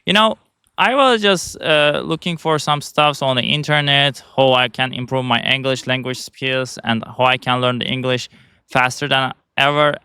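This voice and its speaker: A man's voice: on the high side, sharp tone, clean tone, no room sound, clean studio sound. Man with a Turkish accent, rambling. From Turkey. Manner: rambling, many fillers and restarts. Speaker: vlogger. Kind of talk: casual talk to camera.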